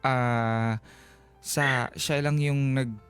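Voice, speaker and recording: A man's voice: mid-range voice, steady tone, extremely slow, fairly bright tone, smooth, dry recording. A man hosting a radio advice show, putting conversational questions to a caller. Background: background music.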